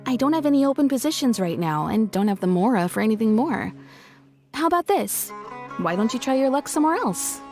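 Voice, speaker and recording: High-voiced, practical female boss. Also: very animated, a little fast, darkish tone, faint music behind. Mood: hesitant, apologetic. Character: female employer, practical, firm but apologetic. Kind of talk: acted video game dialogue.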